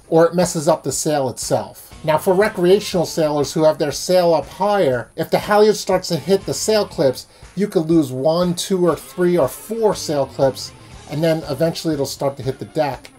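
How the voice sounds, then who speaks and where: A man's voice: a little high, a little mellow. A man explaining things as a sailing channel host in a how-to vlog. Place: small indoor room.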